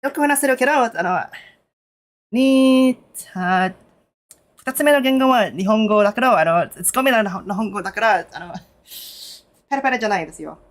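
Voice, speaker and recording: A woman's voice: fairly high pitch, lively intonation. Nervous Canadian woman streaming. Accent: Canadian English. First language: English. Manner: nervous, lots of fillers, switches between English and halting Japanese. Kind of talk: casual live stream chat.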